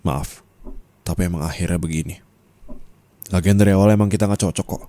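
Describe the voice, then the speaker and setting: A man playing a cold, bitter young ex-boyfriend in an ASMR roleplay, speaking flatly and dismissively. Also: sits low, fairly quick, a little hiss or hum, close to an everyday voice.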